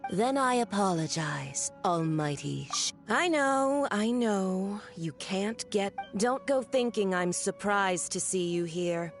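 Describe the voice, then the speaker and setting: A haughty game villainess, elegant and cruel. She draws her words out slowly with mocking, theatrical condescension, over background music. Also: mid-range voice, expressive. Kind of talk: video game voice acting.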